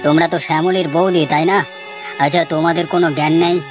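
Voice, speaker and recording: A woman's voice: fairly low pitch, steady tone, quick pace, close to an everyday voice. A woman voicing a haughty, scolding older father-in-law in a cartoon family drama. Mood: scornful. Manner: scornful, scolding. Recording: music behind the voice.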